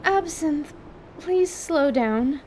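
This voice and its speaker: A woman playing a composed, scholarly magic teacher, patient and reserved, with formal, measured wording in a fantasy audio drama. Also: high-pitched, lively intonation, a little mellow, a little hiss or hum, voice pushed up, exaggerated voice, poor audio. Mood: strained, cautious.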